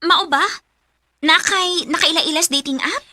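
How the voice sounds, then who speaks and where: An actress playing an excitable, pushy young matchmaking friend in a radio drama, with a nagging Cebuano-accented delivery. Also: female voice, very high-pitched, measured pace, no room sound, soft background music, full character voice. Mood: scolding. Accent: Cebuano Filipino. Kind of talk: Philippine radio drama acting.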